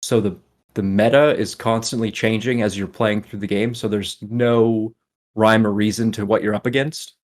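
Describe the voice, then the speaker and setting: Low-voiced man chatting on a gaming podcast. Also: fairly even intonation, moderate pace. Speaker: podcast co-host. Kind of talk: casual gaming podcast chat.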